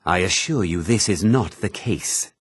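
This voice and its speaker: An actor voicing a learned, haughty mage scholar in a fantasy video game, speaking formally and with measured, precise diction. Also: male voice, sits low, expressive, slightly rough, no room sound, studio quality, heavily acted. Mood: grave, scholarly. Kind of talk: scripted fantasy game dialogue.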